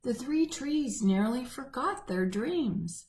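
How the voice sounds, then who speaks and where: Slow, expressive woman reading a storybook. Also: high voice. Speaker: church children's storyteller. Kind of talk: reading a picture book aloud to children.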